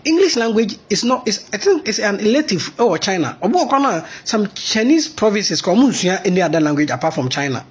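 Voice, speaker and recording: A man's voice: high-pitched, husky. A Ghanaian man with a Ghanaian accent giving an impassioned commentary monologue, mixing Twi and English.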